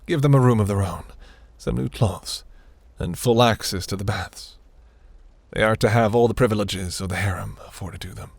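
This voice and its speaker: A man playing a seductive, imperious demon prince in a fantasy audio roleplay, speaking in a silky, commanding, breathy murmur. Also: normal speed, no room sound. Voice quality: low, gravelly, breathy.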